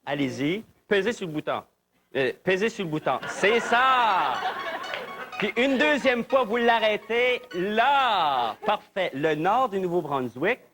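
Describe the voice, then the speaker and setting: A man's voice: high voice, animated, slow pace, over music. A man hosting a television game show in a chatty, jovial, exclamatory manner. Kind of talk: live presenting, chatty. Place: television studio.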